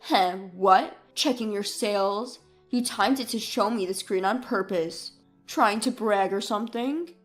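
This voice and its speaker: A woman's voice: mid-range voice, measured pace, faint music behind, pitched below the performer's own voice, close to an everyday voice. A woman voicing a cold, scornful husband in dramatised story dialogue. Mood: sneering. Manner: scornful, belittling. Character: cold, sneering husband, adult man, easily annoyed.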